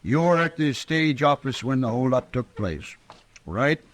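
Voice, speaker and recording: A man's voice: medium pitch, animated, unhurried, no room sound, lightly acted. A man playing a brusque, officious frontier judge in an old-time radio western, curt and offhand in his questioning. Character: brusque, biased frontier judge fond of liquor. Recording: vintage radio drama recording.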